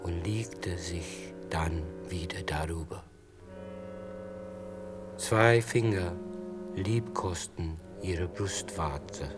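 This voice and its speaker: An actor playing a furtive, humble lover in a radio play, narrating his confession in a hushed, measured, intimate way. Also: low-pitched. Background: music.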